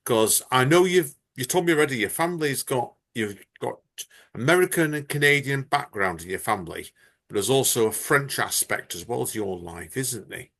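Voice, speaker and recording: A man's voice: medium pitch, lively intonation, normal speed, a bit bright. A man hosting a podcast chat, talking in a chatty, rambling, enthusiastic way. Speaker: podcast host. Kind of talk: casual chat.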